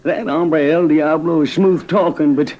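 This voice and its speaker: A man's voice: fairly high pitch, steady tone, a little slow, a little mellow, natural voice. A man acting an anxious, earnest American express agent in an old-time radio western. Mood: earnest, worried. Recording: dull old radio recording, faint noise.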